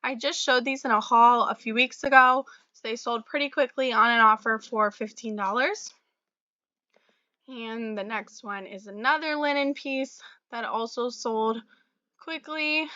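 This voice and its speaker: A young woman vlogger, slightly raspy from a cold, chatting casually about her resale items with fillers and little laughs. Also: on the high side, pushed and bright, dry recording, muffled recording. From Florida. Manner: chatty, friendly, many fillers and small laughs. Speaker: reseller vlogger. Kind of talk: casual talk to camera about sales.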